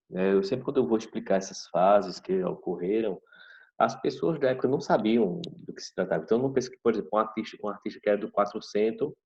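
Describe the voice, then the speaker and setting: A man teaching a history class over a video call, explaining slowly and checking in with "tá certo?" after each point. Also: fairly low pitch, darkish tone. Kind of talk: online class lecture. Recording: dull video call audio.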